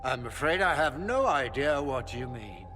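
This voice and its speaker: An actor playing a proud, scheming middle-aged magister in a video game, theatrical and pompous with silky menace. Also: male voice, medium pitch, sing-song, normal speed, lightly acted. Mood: smug, smooth. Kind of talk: dramatic video game voice acting. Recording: long hall reverb, music underneath.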